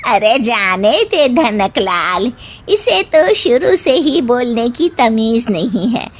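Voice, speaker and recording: A woman's voice: a little high, very animated, takes it slowly, background noise. A woman voicing a haughty, bossy cartoon daughter-in-law who poses as the family grandmother, imperious and theatrical. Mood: self-pitying.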